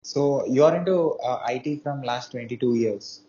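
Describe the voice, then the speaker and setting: Interview host: man, warm low voice, short halting questions, dull lo-fi recording. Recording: dull lo-fi sound.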